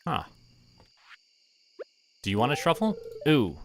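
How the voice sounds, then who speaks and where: A man giving relaxed game stream commentary, casually thinking aloud and talking to himself and the characters. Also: mid-range voice, slow pace, noisy background. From Canada.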